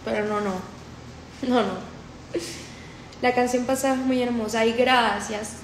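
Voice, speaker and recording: A woman's voice: a little high, steady tone, unhurried, reverberant, slight background noise, poor audio. A woman in her twenties from Colombia with a paisa accent, chatting casually on a phone livestream and reading comments aloud. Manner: chatty, repetitive, mixes in English. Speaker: livestream host. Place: room indoors. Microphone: phone held close.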